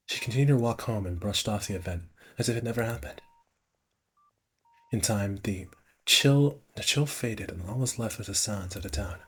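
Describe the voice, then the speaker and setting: A man live streaming, reading visual novel narration aloud in a halting way, often stumbling and repeating phrases. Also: on the low side, fairly bright tone, breathy edge.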